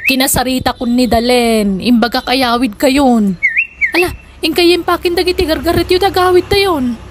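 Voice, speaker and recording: Woman playing a high-pitched, scolding grown daughter. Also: normal speed, noisy background, natural voice. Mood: persuading. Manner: admonishing, exasperated. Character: scolding, practical grown daughter trying to reason. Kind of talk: comedic radio drama acting.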